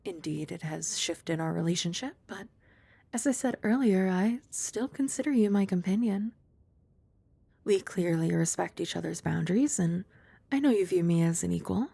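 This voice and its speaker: A young woman performs a poised young maid who speaks in formal, courteous phrases and slips in dry, gentle teasing. Her voice is mellow and dark with a gravelly fry running through it. It is recorded close and dry, with no room sound at all. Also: lowish voice, lively intonation, moderate pace, dry recording, full character voice. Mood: calm. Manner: soft-spoken, dropping to whispers at times. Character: composed, dryly teasing young female maid and childhood friend. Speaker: ASMR roleplay performer. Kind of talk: ASMR roleplay.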